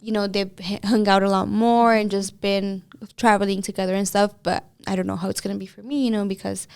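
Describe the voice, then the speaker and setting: A woman's voice: mid-range voice, level tone, fairly quick, creaky, a little mellow, clean tone. A young woman from Mexico in her twenties, co-hosting a podcast in casual chat and switching between English and Spanish mid-sentence. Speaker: podcast co-host.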